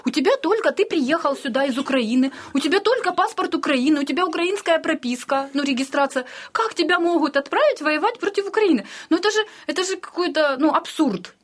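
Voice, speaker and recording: A woman recounting personal experience in a casual interview, animated and acting out what other people said. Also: high voice, a little fast, few pauses. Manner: animated storytelling, acts out reported speech. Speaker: interviewee, eyewitness.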